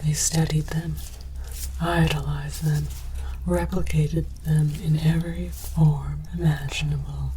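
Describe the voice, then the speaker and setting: A woman voicing an earnest, bemused alien archaeologist in whispered ASMR, presenting with formal, deadpan academic deliberation. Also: sits low, takes it slowly, background noise. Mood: earnest, scholarly. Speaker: host of an educational comedy show.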